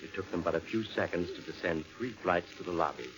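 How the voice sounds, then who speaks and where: Actor as a deep, solemn mystery storyteller. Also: male voice, lightly acted. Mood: suspenseful. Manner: measured, solemn, dramatic. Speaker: narrator. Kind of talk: 1940s radio suspense narration. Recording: dull, lo-fi old radio recording. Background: music.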